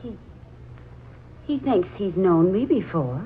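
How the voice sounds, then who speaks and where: A woman's voice: fairly low pitch, very animated, slow pace. Troubled young woman, muffled vintage radio. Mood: troubled, hesitant. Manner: hesitant, earnest. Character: anxious, wistful young woman attached to her family house. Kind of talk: 1940s radio drama acting. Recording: muffled, noisy 1940s radio recording.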